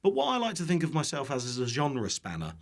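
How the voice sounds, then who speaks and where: A man's voice: fairly high pitch, huge pitch swings, quick pace, creaky. A British man, an online cooking creator and podcast guest, chats casually in a jokey, self-deprecating way, with casual swearing. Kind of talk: casual chat.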